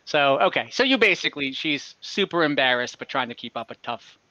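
Bright-voiced young man running the game. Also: a little high, expressive, fairly quick, no echo. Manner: loose, chatty narration with many fillers. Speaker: game master. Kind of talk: narrating a tabletop game. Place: home room. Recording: slightly dull video call audio.

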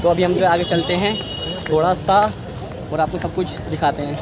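A man's voice: fairly high pitch, steady tone, normal speed. A young man in his twenties vlogging as he walks a busy outdoor street market, chatting to viewers and repeating prices, filmed on a handheld phone. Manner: chatty, addresses viewers as guys and brother, asks prices and repeats them. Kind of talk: market walk-through vlog. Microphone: handheld phone at arm's length. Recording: loud street crowd behind.

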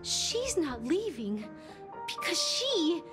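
High, slow-spoken timid lovesick young woman. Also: big pitch swings, exaggerated voice. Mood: earnest. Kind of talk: video game character acting. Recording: music playing behind the voice. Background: game music.

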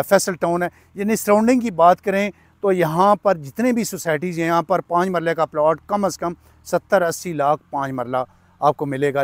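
A middle-aged man with a Pakistani Urdu accent, giving a fluent, persuasive real-estate sales pitch to camera outdoors on a lapel mic. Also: no room sound. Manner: fluent, persuasive, steady listing of prices with frequent "uh" fillers. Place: outdoors at an open housing plot, sunny.

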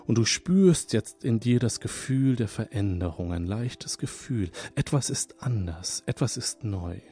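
A man leading a guided hypnosis session, speaking in a calm, soothing, repetitive manner. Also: on the low side. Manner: slow, soothing, hypnotic, repeats phrases. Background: soft background music.

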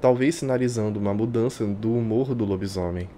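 A man with a Brazilian accent reading a visual novel aloud like a streamer, expressive and colloquial. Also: lowish voice, fairly quick, slight background noise. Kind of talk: dramatic live reading with casual asides. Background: game music.

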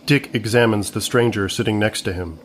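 A man's voice: lowish voice, a little fast, slight background noise. A man reading scripted narration as the narrator of an audio comedy.

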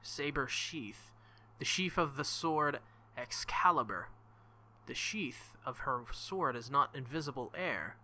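Bright, forceful man reading a game aloud. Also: mid-range voice, lively intonation, slow pace. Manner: jokey, exclamatory asides. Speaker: let's-play host. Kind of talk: visual novel read-aloud with joking asides.

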